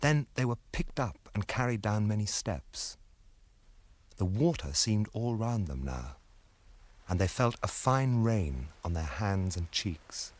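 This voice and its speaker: A man narrating an epic fantasy radio drama as a calm, formal storyteller. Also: lowish voice, slow pace. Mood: calm narration.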